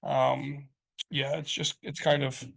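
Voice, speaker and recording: A man's voice: medium pitch, little pitch movement, slow, vocal fry, fairly bright tone, rough recording. Rambling, foul-mouthed guy chatting casually. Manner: rambling, swears freely, lots of fillers. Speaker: guest explaining business topics. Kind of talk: casual podcast chat.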